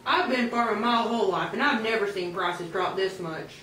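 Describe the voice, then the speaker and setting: A woman's voice: mid-range voice, normal speed, a bit bright, natural voice. A woman playing an earnest old-time American man in an amateur puppet show. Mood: fed up. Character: earnest 19th-century American man, farmer or reformer. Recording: echoey room, distant mic, clear noise.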